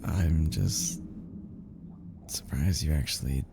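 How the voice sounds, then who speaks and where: A man playing an obsessive, lovesick young yandere kidnapper in an ASMR roleplay drama, stammering and sighing with halting, fragile tenderness, often dropping into breathy half-whispers. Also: rumbling low, background noise, exaggerated voice. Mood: relieved, devoted.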